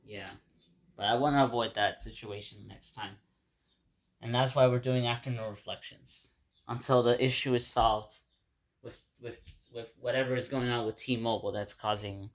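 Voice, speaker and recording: A man from Ecuador whose first language is Spanish talks through his day in a loose, rambling video diary. He repeats words and restarts his sentences as he goes. His voice sits at an ordinary middle pitch for a man. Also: moderate pace. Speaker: vlogger. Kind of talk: casual spoken reflection to viewers.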